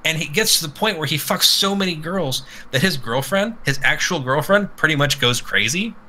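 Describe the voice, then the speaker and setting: Man, podcast co-host, very sharp forceful voice, crude profane rambling storyteller, faint background noise. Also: on the high side, animated, normal speed, rough recording. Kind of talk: casual podcast banter and storytelling.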